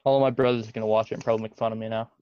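A teenage boy hosting a casual chat on a call, joking, boastful and quick to bicker. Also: fairly low pitch, flat delivery, no room sound. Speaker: host. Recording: dull lo-fi audio, faint noise.

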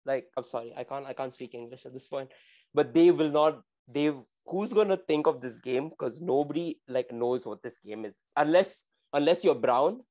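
A man's voice: on the high side, expressive, normal speed, darkish tone. A man in his twenties, co-hosting a podcast in casual chat, rambling with restarts and slang. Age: 20s. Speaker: podcast co-host.

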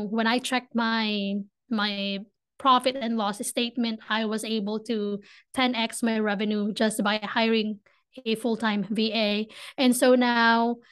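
A young woman from the Philippines with a Filipino accent, a podcast guest talking casually and eagerly, speeding up when she gets excited. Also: on the high side, clear, resonant tone. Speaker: podcast guest, business founder. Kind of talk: casual interview talk.

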